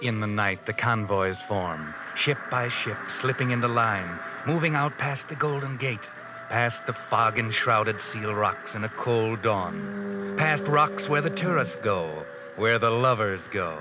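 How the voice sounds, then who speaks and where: An actor playing a mysterious, all-knowing night-walker who narrates dark tales in an ominous, theatrical 1940s radio manner. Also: male voice, lowish voice, unhurried, very noisy, lightly acted. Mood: sinister, knowing. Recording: dull vintage radio recording, music behind.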